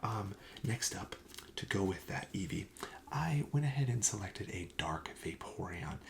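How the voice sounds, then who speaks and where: A man speaking softly and breathily, often near a whisper, gently explaining wares as a shop clerk in an ASMR roleplay. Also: fairly low pitch, lively intonation, unhurried, gravelly, slight background noise. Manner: gentle, explanatory sales patter. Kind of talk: soft-spoken ASMR roleplay.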